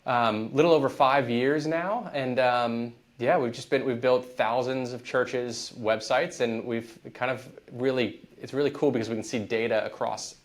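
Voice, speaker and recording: A man's voice: medium pitch, moderate pace, few pauses. An American man speaking as a guest on an interview podcast. His talk is easygoing and conversational, with his thoughts strung together by "like" and "um". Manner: relaxed, conversational, frequent fillers. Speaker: guest, company founder.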